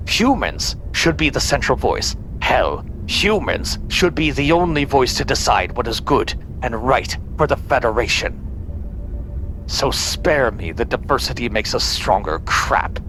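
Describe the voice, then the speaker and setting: An actor playing a cold, bigoted, tyrannical space admiral in a sci-fi audio drama, condescending and domineering. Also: male voice. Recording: steady background rumble.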